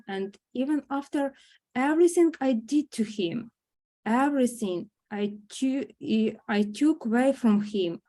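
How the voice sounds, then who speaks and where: Woman as loving grandma in a drama read, slow and mellow, bit high.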